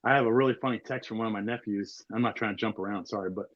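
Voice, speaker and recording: A man's voice: lowish voice, fairly even intonation, very fast, no room sound, dull audio. A man in his forties from Maryland, a podcast guest telling stories in a rambling way with lots of fillers. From Maryland, USA. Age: 40s. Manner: rambling storyteller, many fillers. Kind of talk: casual chat.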